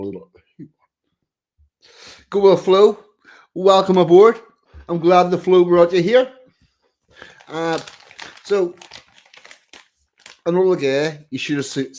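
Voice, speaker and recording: A man's voice: fairly high pitch, darkish tone. A man hosting a casual live stream, chatting loosely with viewers and muttering under his breath. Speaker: streamer host.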